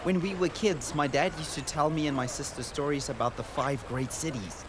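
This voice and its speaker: A man's voice: on the high side, steady tone, normal speed, natural voice. Man playing an earnest boy traveler, noisy ambience. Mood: friendly, eager. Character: earnest, wonder-struck boy recording a journey for his sister. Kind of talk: radio drama narration and dialogue. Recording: noisy outdoor ambience behind the voice.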